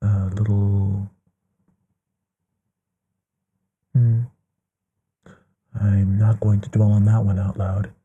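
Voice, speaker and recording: A man playing a courtly, bookish, gently affectionate vampire in an intimate ASMR bedtime roleplay, musing in a breathy hush that often slips into a whisper. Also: fairly low pitch, level tone, muffled recording, full character voice. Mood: drily amused. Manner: musing, genteel, unhurried with pauses.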